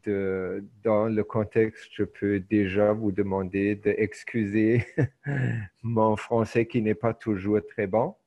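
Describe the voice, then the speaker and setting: Slow unhurried german-native guy teaching over a video call, lots of ums, kinda low voice, muffled sound. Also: level tone, dark tone. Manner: hesitant, many filler pauses. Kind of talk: online lecture.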